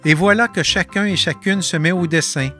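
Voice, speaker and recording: A man with a Quebec French accent narrating a documentary about his artist residency in a calm, measured voice-over. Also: high-pitched, soft background music.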